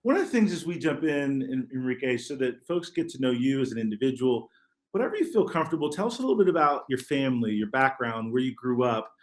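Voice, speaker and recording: Relaxed man hosting a podcast interview. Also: medium pitch, fast talker. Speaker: podcast host. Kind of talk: conversational interview.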